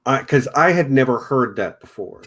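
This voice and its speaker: A chatty man from Texas with a Texan accent, hosting a relaxed show discussion. His voice sits at a middle pitch, and he talks loosely, restarting sentences as he goes. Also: normal speed. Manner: chatty, self-correcting. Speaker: podcast host. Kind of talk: casual discussion.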